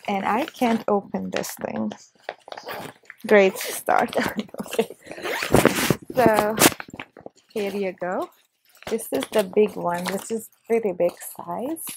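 A woman in her thirties from Hungary with a Hungarian accent, chatting excitedly through a casual unboxing vlog on a lapel mic in her living room. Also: mid-range voice, gravelly, noisy background. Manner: chatty, excitable, with short laughs. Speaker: vlogger. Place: living room on a leather couch, indoors.

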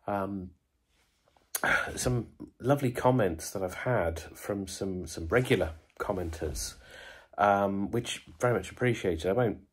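A man with a cold, talking quietly in a hotel room in a casual vlog update, with many ums and hesitations. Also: lowish voice, unhurried, breathy edge. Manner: speaks softly, hushed, many ums. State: bunged up with a cold. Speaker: vlogger.

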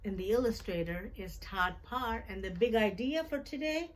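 A middle-aged woman hosting a children's storytime, reading a picture book aloud in simple, deliberate phrases and putting questions to her young listeners. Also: mid-range voice. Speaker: children's storytime host and reader. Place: small decorated indoor room.

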